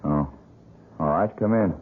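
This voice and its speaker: An actor playing a bitter, broke painter in a 1970s radio drama, speaking brusquely and sardonically. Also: male voice, deep voice, measured pace, slightly rough. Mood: gruff, suspicious. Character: bitter, broke, self-pitying young male painter. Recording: muffled vintage radio broadcast, music behind.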